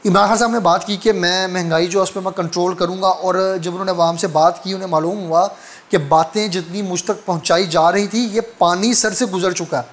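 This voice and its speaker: A man's voice: sits high, steady tone, brisk. A man with a Pakistani accent presenting news commentary.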